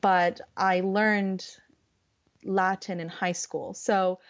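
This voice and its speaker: A woman's voice: mid-range voice, flat delivery, very slow. A woman with a Californian accent chatting casually as a podcast guest, with a giggly, hesitant way of talking. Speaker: podcast guest, English conversation partner. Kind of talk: casual conversation. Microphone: online voice call. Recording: dull, lo-fi internet call audio.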